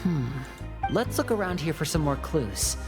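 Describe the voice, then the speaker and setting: A woman playing a guarded, analytical and protective young male team leader in a video game, speaking in a measured, serious way. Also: sits low, big pitch swings. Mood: analytical, composed. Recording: game dialogue over clear music.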